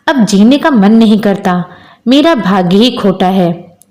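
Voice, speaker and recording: A woman's voice: mid-range voice, lively intonation, unhurried, a little mellow, natural voice. A woman voicing a moody, emphatic village husband who longs for a son, in a storybook tale. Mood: despairing, bitter.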